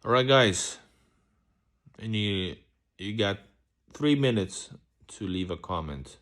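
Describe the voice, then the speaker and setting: A man's voice: on the low side, expressive, takes it slowly, fairly bright tone. A man hosting a casual live-stream Q&A as a vlogger, rambling loosely with many restarts and fillers. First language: Russian. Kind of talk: casual live-stream chat answering comments.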